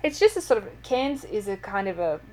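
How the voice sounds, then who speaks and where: A woman's voice: medium pitch, big pitch swings, fairly quick, darkish tone, a little hiss or hum. A woman with an Australian accent, an author telling stories in a casual interview, chatty and full of ums. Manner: chatty storyteller, many fillers. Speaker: author and guest.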